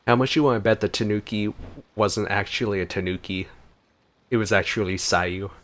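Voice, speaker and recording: A man's voice: fairly low pitch, fairly even intonation, normal speed, a bit bright, slight background noise. A man giving casual, exclamatory gameplay commentary, informally thinking aloud as he plays. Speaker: gaming video creator.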